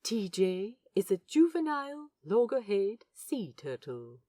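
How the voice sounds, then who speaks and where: A woman plays a kindly, knowledgeable man with a tender, reverent manner. His speech is slow and very melodic, explaining things softly as in an audio drama. The sound is dry, with little room on it. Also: medium pitch, very animated, no room sound. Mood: warmly instructive. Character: gentle, learned man fond of sea animals. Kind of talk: fan fiction audio drama dialogue.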